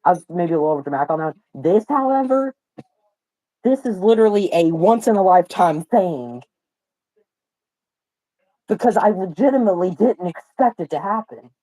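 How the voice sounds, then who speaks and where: A young man hosting a live watch party stream, talking excitedly with lots of slang and exclamations, his voice hoarse and cracking from a sore throat. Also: sits high, moderate pace, long pauses, poor audio. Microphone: phone.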